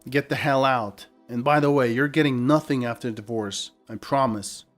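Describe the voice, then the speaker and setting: A man's voice: mid-range voice, fairly even intonation, normal speed, faint music behind, lightly acted. A man voicing an angry, betrayed husband with a cold, curt manner in a narrated story. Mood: cold anger. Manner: curt, speaks through gritted teeth.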